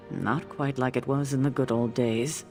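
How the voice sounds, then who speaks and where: A woman playing a stern, commanding older guardian in a video game cutscene, grave, deliberate and authoritative. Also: a bass voice, steady tone, over music, heavily acted. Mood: dry, businesslike.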